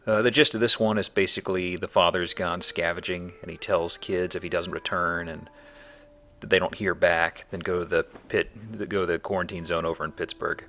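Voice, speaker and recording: Male game commentator, low and creaky with a forceful edge; wry, casual, joking asides. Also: little pitch movement, normal speed. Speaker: let's play host and player.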